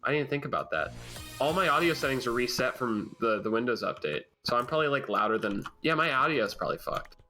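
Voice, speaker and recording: Animated young man telling a stream story. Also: mid-range voice, fast, soft background music. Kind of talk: casual chat on a game stream. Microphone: desk mic at a computer.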